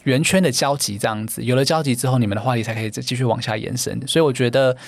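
A man with a Taiwanese Mandarin accent, a podcast guest who explains eagerly and keeps affirming with quick repeated words. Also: mid-range voice, gravelly, no echo. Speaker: guest, founder of dating events. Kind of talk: podcast interview.

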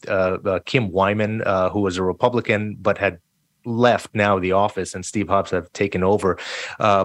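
A middle-aged man from Jordan with a fairly low voice, talking as an interview guest. He sounds earnest and engaged, and the recording is close and dry. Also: no room sound. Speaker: radio community engagement director, interview guest. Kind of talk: conversational interview.

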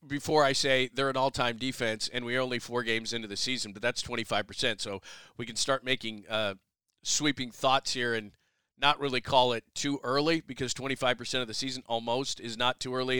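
A man hosting a sports podcast, slightly hoarse, firing off quick opinions with self-mocking asides. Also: mid-range voice, fairly even intonation, pushed and bright, no echo. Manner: brash, rapid-fire opinions with self-deprecating asides. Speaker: sports radio host. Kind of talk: solo sports podcast commentary.

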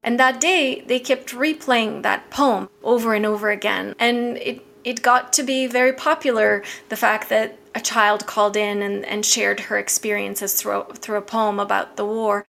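A woman around forty, from Bosnia with Bosnian as her first language, recalling her past in a reflective, measured way with some restarts. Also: medium pitch, steady tone, creaky, a bit bright. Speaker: interviewee. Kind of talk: personal recollection.

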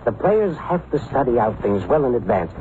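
An actor playing a cynical, wisecracking racetrack bookmaker in an old radio drama, with dry delivery and a thin vintage sound. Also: male voice, mid-range voice, sing-song, normal speed, lightly acted. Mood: wry. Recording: narrowband old radio recording, faint hiss.

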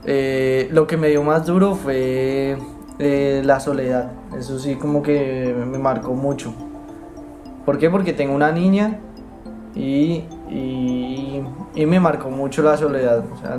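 A soft-spoken man with a Bogotá Colombian accent tells his own story in an unhurried, halting way, stopping often on fillers and starting phrases over. His pitch sits in a middle range for a man, and quiet music plays faintly underneath. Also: fairly even intonation. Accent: Bogotá Colombian Spanish. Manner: hesitant, many fillers and restarts, soft-spoken. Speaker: interviewee, migrant. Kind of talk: halting personal account.

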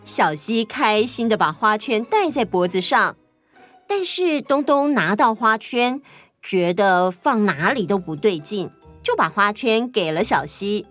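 一位女性为儿童故事做旁白，按稿讲述。. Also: female voice, mid-range voice, lively intonation, a little slow, a bit bright. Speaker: children's story narrator. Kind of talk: scripted children's story narration. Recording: music behind the voice.

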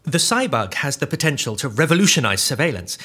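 An actor playing an anxious, earnest scientist on the run in an audio drama, explaining things in a precise, literal way with a husky voice. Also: male voice, mid-range voice, sing-song, fairly quick, a bit bright. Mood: proud, explanatory.

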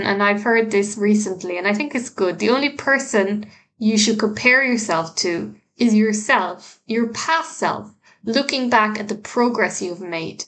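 A woman's voice: medium pitch, fairly bright tone. A woman from Ireland with an Irish accent, hosting a solo podcast and giving learners earnest, encouraging tips, with frequent restarts and fillers. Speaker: podcast host, language teacher.

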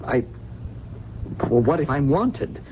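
An actor playing a troubled, well-spoken amnesiac drifter, halting and polite, in a 1970s radio drama. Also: male voice, fairly high pitch, expressive. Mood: anxious, lost. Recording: dull, noisy vintage radio broadcast recording.

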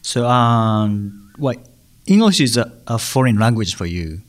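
A man's voice: mid-range voice, big pitch swings, measured pace. A man hosting an English learning show, interviewing a guest in English with frequent short encouraging backchannels. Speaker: podcast host and interviewer. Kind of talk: casual interview.

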